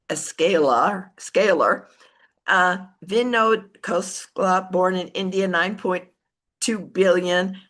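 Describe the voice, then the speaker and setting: A woman's voice: on the low side, fairly bright tone. An American woman giving an informal business talk as a team leader, conversational and often correcting herself as she goes. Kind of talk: informal business motivational talk, partly reading from notes.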